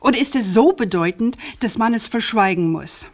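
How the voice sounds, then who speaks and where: A woman's voice: fairly high pitch, expressive, normal speed, darkish tone. Insistent female journalist in radio drama. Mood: indignant. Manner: insistent, accusatory, articulate. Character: persistent, indignant female journalist confronting a prosecutor. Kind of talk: radio play acting.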